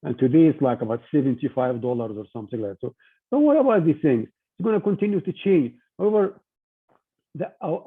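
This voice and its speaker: An older man from Egypt giving an informal talk to students, with halting speech and frequent restarts over a tinny video-call line. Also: a little high, slightly rough. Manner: halting, many restarts and fillers. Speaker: guest speaker.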